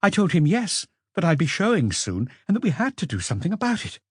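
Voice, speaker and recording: A man's voice: high voice, huge pitch swings, fast, slightly rough, dry recording, clean studio sound, voice pushed up, heavily acted. A man voicing an anxious, hesitant young woman who is confiding under questioning in an audiobook. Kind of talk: audiobook character voice.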